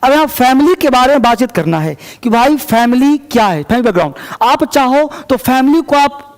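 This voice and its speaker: Male teacher with a very high voice, recorded with a long reverb. Explains step by step and slows down for example sentences. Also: lively intonation. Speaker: English teacher. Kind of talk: spoken English lesson.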